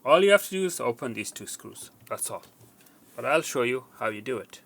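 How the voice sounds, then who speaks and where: A man's voice: medium pitch, animated, fairly quick, creaky, noisy background. A man presenting a casual, unscripted how-to demonstration, talking informally and conversationally and repeating words for emphasis. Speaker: presenter of a tech repair tutorial.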